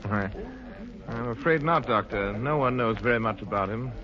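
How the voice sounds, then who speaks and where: An actor playing an urbane amateur detective in a 1940s radio drama, polished, courteous and earnest. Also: male voice, mid-range voice, moderate pace, natural voice. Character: urbane male amateur detective with a secret identity. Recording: muffled old radio recording, crowd murmur.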